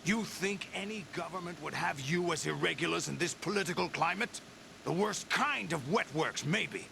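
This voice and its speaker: A commanding warlord with a somewhat raised, rough-edged voice. He speaks sternly and with authority. Clear noise sits under the game audio. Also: on the high side, moderate pace. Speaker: leader of a mercenary group. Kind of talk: video game cutscene acting.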